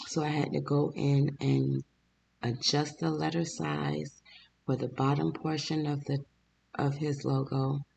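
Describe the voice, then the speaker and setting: Hoarse, gravelly-voiced man chatting to viewers. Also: a little high, darkish tone, slight background noise. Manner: chatty, talks straight to viewers. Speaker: small business owner and channel host. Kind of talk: casual vlog voice-over.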